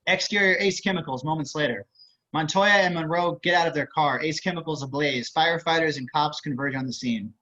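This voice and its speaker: A man's voice: fairly high pitch, brisk, pushed and bright. A man reading screenplay action as scripted narration over a video call. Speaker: stage directions reader. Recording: slightly muffled video-call audio.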